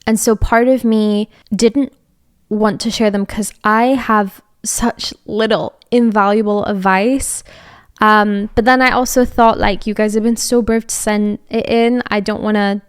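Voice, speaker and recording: A woman's voice: mid-range voice, fairly even intonation, a little slow, clean tone, no room sound, studio quality. A young woman from Scotland with a light Edinburgh accent, hosting a podcast and giving rambling, casual advice full of fillers and restarts. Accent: Scottish, Edinburgh. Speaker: podcast host.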